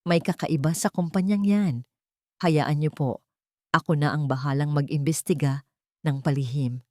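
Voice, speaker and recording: A woman narrator plays a loyal head nurse in a story drama, serious and respectful, with worry under her words. The voice is a little low for a woman and recorded very dry. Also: fairly even intonation, normal speed, mellow, no room sound, close to an everyday voice. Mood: grave, hushed. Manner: respectful, grave.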